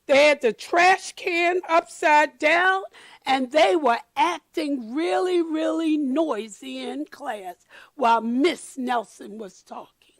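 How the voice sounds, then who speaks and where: Woman, high voice, unhurried pace, expressive picture-book reading, speaking directly to children. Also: no room sound. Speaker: storyteller for children. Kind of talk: children's picture book read-aloud with commentary.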